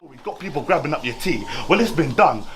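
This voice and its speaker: A lively, high-voiced man mocking in rhymes. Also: brisk, heavy background noise. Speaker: battle rapper. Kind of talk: battle rap verses.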